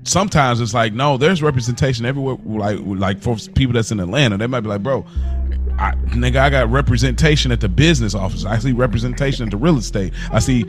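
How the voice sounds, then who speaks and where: A man's voice: mid-range voice, fast, faint music behind. A man co-hosting a casual podcast, speaking earnestly and reflectively in informal, slangy language. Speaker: podcast co-host.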